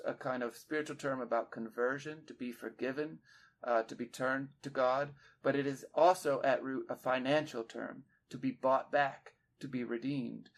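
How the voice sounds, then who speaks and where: A man co-hosting a poetry discussion, explaining his points in a measured, analytical way, one step at a time. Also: medium pitch, vocal fry. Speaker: podcast co-host, literary scholar.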